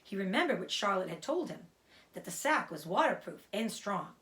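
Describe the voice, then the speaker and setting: A woman reading a children's book aloud as an expressive storyteller. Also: mid-range voice, normal speed.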